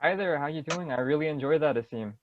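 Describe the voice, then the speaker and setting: A man's voice: fairly high pitch, fast, a crowd in the background. A man in his twenties from Hong Kong, a guest speaker on a webinar, talking in a friendly way and laughing easily. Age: 20s. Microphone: video call. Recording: dry dull lo-fi sound.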